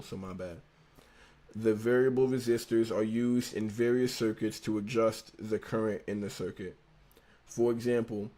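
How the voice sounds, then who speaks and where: A young man with a slight lisp reads through an engineering textbook on a relaxed livestream. His voice sits a little low and sounds mellow and unhurried. He drops into easy slang and backs up to reread lines when he stumbles. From United States. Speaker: livestream host studying engineering. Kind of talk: casual livestream, reading a textbook aloud.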